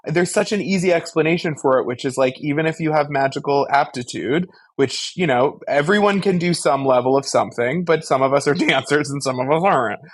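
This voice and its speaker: A man's voice: fairly high pitch, a little fast, few pauses, no room sound, clean studio sound. A man co-hosting a podcast, giving wry, opinionated fan commentary in a casual, sweary way. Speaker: podcast co-host.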